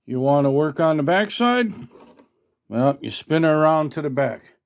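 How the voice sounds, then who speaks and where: A man's voice: on the high side, expressive, moderate pace. A man giving a casual hands-on product demo in a folksy, colloquial, mildly salty way, recorded on a handheld phone at a workbench. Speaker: product reviewer, radio hobbyist. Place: at a workbench indoors.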